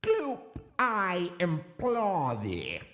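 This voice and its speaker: A man voicing a merciless, pompous alien captain, declaiming with drawn-out, strident melodrama in an audiobook story. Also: high voice, huge pitch swings, a bit bright, roomy sound, pitched above the performer's own voice, heavily acted. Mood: pompous, impassioned.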